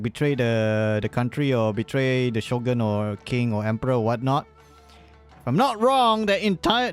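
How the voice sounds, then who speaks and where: A man giving casual, rambling live commentary on a game stream, swearing freely at times. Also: medium pitch.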